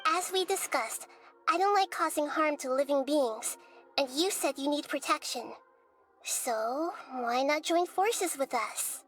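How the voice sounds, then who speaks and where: A woman voicing a small, wise, childlike goddess in an anime game, gentle, composed and measured in her explanations. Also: high-pitched, animated. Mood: calm, persuasive. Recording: soft music behind the voice.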